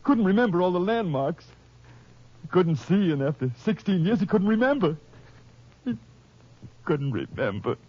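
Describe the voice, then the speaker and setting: A desperate cornered killer. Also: male voice, high voice, big pitch swings, normal speed, long pauses. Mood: distraught. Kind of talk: 1950s radio drama acting. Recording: dull old radio transcription.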